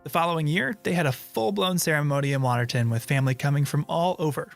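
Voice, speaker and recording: Male narrator-interviewer, slightly high pitch, storytelling over light guitar. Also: fast, gravelly. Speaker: podcast host and interviewer. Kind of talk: scripted narration and interview questions. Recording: guitar music behind.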